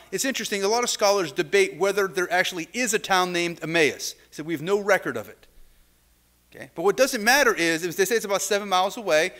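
A man's voice: high voice, a bit bright. A middle-aged man preaching a sermon from a lectern in a church sanctuary, talking conversationally with restarts and asides, heard from a camera some way off. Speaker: pastor. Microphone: far camera.

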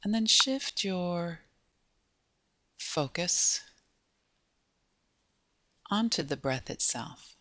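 Slow, gentle woman guiding meditation. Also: fairly low pitch, animated, no room sound. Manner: gentle, unhurried, pausing between short phrases. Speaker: meditation guide. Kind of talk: guided body scan meditation.

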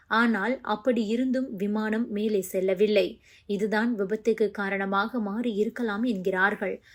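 A woman reading scripted news narration as a news narrator. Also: medium pitch.

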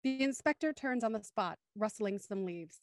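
A woman's voice: medium pitch, expressive, moderate pace, darkish tone, no room sound, clean studio sound. A woman narrating a radio play and hosting its livestream over a video call. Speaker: narrator and host. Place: indoors on a group video call.

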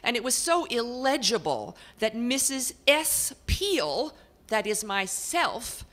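A woman voicing a haughty long-dead lady in a painted portrait, prim, old-fashioned and loudly indignant. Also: big pitch swings, takes it slowly, exaggerated voice. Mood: indignant chatter. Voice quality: exasperated, prim, loud. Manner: old-fashioned formal diction, shrill bursts.

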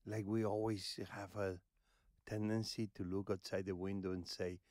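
A man in his fifties hosting a podcast and teasing his family in casual conversation, recorded on a boom mic in a cozy living-room studio. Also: flat delivery, moderate pace, a little mellow, no room sound. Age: 50s. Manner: teasing, playful questioner. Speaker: podcast host. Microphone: boom-arm studio mic.

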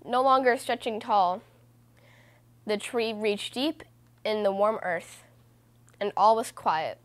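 A woman reading a children's book aloud as host, in a measured, deliberate way with stressed phrases. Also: mid-range voice. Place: small room indoors.

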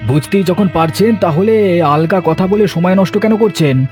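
A man's voice: sits high, normal speed, darkish tone, faint music behind, close to an everyday voice. A man voicing an indignant village man in a horror cartoon, hostile and accusing in his delivery. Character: indignant village man confronting an unwelcome visitor.

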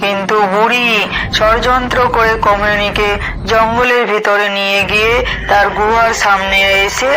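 A woman's voice: medium pitch, steady tone, moderate pace, noisy background. A woman narrating a scripted fairy tale for a cartoon.

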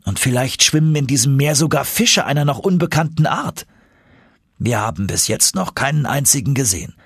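A man voicing a confident, eager older professor for an audiobook, husky and emphatic, as if lecturing. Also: medium pitch, animated, brisk, no echo, clean studio sound, voice pushed up.

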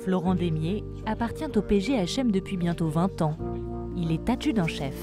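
Documentary voice-over: woman, low-pitched, measured read, music bed underneath. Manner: measured, even narration. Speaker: documentary narrator.

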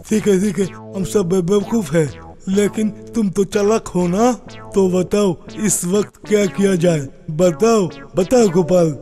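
An actor playing an excitable, fussy court man in a folk-tale cartoon. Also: high voice, measured pace. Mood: flustered. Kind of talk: Hindi cartoon voice acting. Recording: long reverb, music behind.

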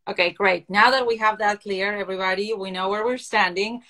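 A woman in her forties from Argentina, Spanish her first language, speaking English with an Argentine accent as she hosts a video-call interview from a lamp-lit living room, excited and stressing words slowly. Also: mid-range voice, noisy background. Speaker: host. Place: living room indoors with lamps. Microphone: video call, phone or laptop camera.

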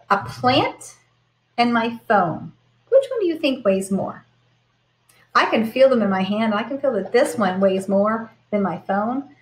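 A woman's voice: a little high, poor audio. A middle-aged American woman reading a picture book aloud to children and explaining it, in a sing-song way that stresses key words and rhymes. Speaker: primary school teacher reading aloud to children. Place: indoors at home, in front of a computer.

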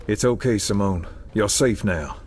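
An actor playing a grave, protective special forces squad member in a video game, earnest and concerned. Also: male voice, on the low side, a little slow, background noise, close to an everyday voice. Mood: reassuring. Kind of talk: dramatic video game acting.

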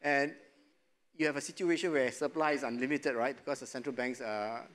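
A middle-aged man speaks as a panelist on a studio stage, recorded on a lapel mic. His talk is relaxed and loose, dotted with small chuckles and frequent filler phrases. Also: mid-range voice, a little fast. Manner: relaxed, chuckling, many "you know" fillers. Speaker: investment panelist. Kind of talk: panel discussion answer.

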